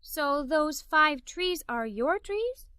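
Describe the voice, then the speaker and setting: A woman voicing a curious, excitable little girl in a children's storybook, eager and wide-eyed. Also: high voice, slow, no room sound, studio quality, heavily acted. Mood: curious, awed.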